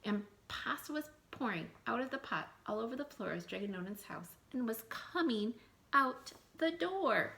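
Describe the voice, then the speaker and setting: A woman reading a picture book aloud to children in an animated, expressive way, adding asides and questions in mock surprise. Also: on the high side, normal speed, gravelly. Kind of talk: animated read-aloud storytelling with asides to young listeners.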